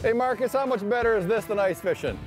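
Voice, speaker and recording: Brief: man, fishing-show host, cheery and chatty, slightly high voice, outdoors on a boat. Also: fast talker, loud music behind the voice. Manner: upbeat, chatty, explains technique. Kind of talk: casual on-the-water commentary. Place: outdoors on a boat on a shallow lake.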